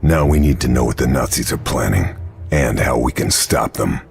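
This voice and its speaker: Super deep grim ww2 sniper, terse grave mission narration for a game, music behind. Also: male voice, normal speed, gravelly. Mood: grave, determined. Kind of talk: scripted cinematic mission briefing narration.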